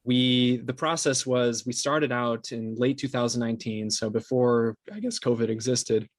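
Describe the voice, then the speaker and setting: A man being interviewed as a podcast producer, explaining things conversationally in a halting way with many restarts. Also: mid-range voice, fairly even intonation, no echo, clean studio sound.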